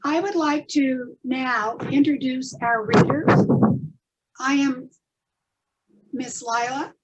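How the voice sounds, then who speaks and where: A woman introducing children's stories as host, in simple measured phrases to her audience. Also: high-pitched, fairly even intonation, muffled recording, a little hiss or hum. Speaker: host and presenter of a readers theatre.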